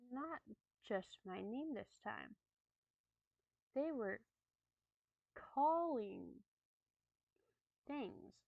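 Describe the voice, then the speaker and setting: A woman's voice: on the high side, creaky, no room sound, lo-fi sound. A woman streamer who chats casually and reads horror stories aloud, stumbling over words, swearing at her slips and laughing. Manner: halting reading with stumbles and swearing; laughs and chats with viewers between readings. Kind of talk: casual chat and dramatic horror reading.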